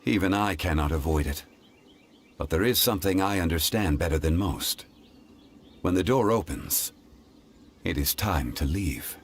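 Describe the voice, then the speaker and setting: A man voicing an ancient, composed god in human form in a game, with a deep, calm voice and a measured, dignified way of speaking. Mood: solemn. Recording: game audio with soft music.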